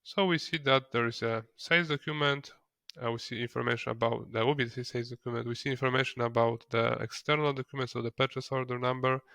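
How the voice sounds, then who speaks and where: A non-native English-speaking man narrating a software tutorial step by step, in a hesitant way. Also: medium pitch, no echo. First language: not English. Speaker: software trainer.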